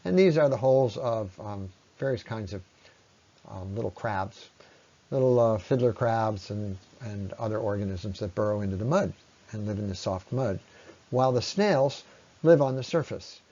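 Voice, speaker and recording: Man giving a halting talk, muffled audio. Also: fairly low pitch, dark tone. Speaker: presenter, ecology lecturer. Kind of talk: explanatory slide talk, conversational.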